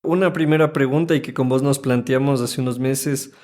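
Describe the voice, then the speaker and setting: A man in his thirties with an Ecuadorian Spanish accent, co-hosting a casual podcast chat in an animated, playful way. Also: medium pitch, normal speed. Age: 30s. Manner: animated, chatty, playful teasing. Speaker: podcast co-host.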